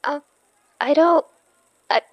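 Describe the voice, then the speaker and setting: A woman playing a gentle, earnest young woman in an audio drama. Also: high voice, slow pace, lo-fi sound. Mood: confused.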